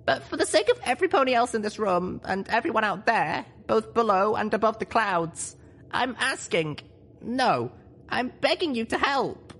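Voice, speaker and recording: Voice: mid-range voice, sing-song, moderate pace, fairly bright tone, slightly rough, pitched above the performer's own voice, heavily acted. A narrator voicing an earnest, determined young female pony leader, speaking solemnly and urgently in a story reading. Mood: earnest, pleading. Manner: solemn, urgent speech-making.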